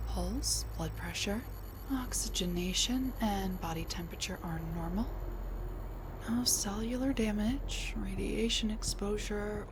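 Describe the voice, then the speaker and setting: A woman voicing a scatterbrained older woman scientist who has traveled back in time to meet her husband. She stammers and restarts, full of fillers, and sometimes drops to a hushed mutter. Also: medium pitch, background noise, close to an everyday voice. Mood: muttering, checking. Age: middle-aged. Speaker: audio roleplay performer. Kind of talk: audio roleplay drama.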